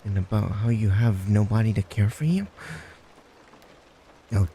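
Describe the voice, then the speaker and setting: A man playing a creepy, blunt, unhinged stalker neighbor in a roleplay audio drama, sardonic and halting, prone to giggles and mutters. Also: lowish voice, animated, warm tone, slight background noise. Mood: mocking, blunt. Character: creepy, blunt, unhinged yandere male next-door stalker.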